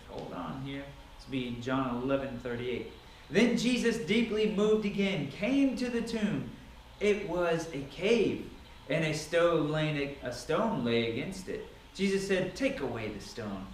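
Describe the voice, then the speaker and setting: A man with a fairly high voice reads scripture aloud as drama, stressing phrases theatrically. The recording is roomy and echoing, with audible background noise. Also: lively intonation, measured pace. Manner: theatrical, emphatic delivery. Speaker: Bible reader. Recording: echoey room, distant mic, noisy.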